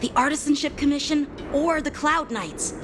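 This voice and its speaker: Woman playing an earnest, high-voiced young game heroine. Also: normal speed, very noisy, lightly acted. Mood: alarmed, suspicious. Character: alert, earnest young heroine investigating suspicious goings-on. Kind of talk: dramatic video game acting. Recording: music behind the voice.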